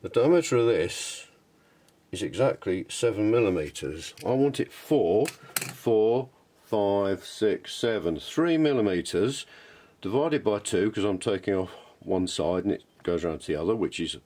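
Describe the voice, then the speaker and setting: A man's voice: on the low side, expressive, unhurried, mellow. A British man giving a casual, unscripted how-to demonstration in a rambling, self-deprecating, chatty way. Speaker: hobbyist maker presenting a workshop tutorial. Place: home workshop indoors. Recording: clear background noise and clicks in a workshop.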